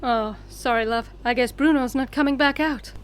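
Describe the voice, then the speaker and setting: A woman's voice: high voice, moderate pace, smooth, natural voice. A woman playing a disapproving, exasperated young woman in a comedy audio drama, with a dramatic delivery. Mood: casual.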